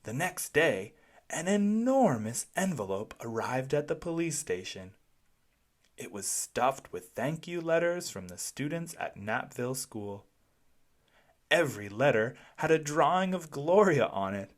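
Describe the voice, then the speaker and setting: Slow, very animated children's storybook reader. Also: medium pitch. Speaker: read-aloud narrator. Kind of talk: expressive children's storybook narration.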